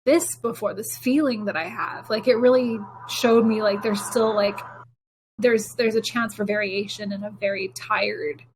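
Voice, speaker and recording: A woman's voice: mid-range voice, steady tone, normal speed, a little hiss or hum, rough recording. A woman co-hosting a podcast, chatting casually about video games and telling rambling stories with acted-out quotes. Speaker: podcast co-host.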